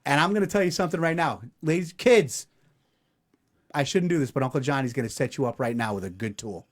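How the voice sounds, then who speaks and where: A man in his forties hosting a casual comedic podcast chat, telling stories animatedly and doing quick voices. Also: medium pitch. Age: 40s. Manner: animated storyteller, puts on voices. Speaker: podcast co-host.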